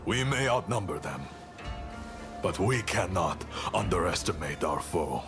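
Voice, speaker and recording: Low, solemn samurai commander. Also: male voice, animated, over music. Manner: solemn, formal, measured. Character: earnest, honorable male Shinsengumi samurai commander. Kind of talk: video game cutscene acting.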